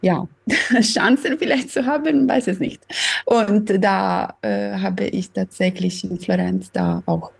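Husky, gravelly Italian woman on a video call. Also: mid-range voice, very animated, takes it slowly. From Sicily, Italy. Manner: hesitant, searching for words, many fillers. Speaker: guest pianist. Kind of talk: casual interview chat. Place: at home, joined by video call.

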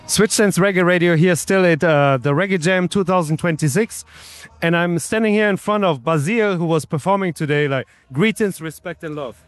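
Male reporter, high register, informal interview questions, very little room sound. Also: normal speed, no echo. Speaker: radio interviewer. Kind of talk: casual on-location interview.

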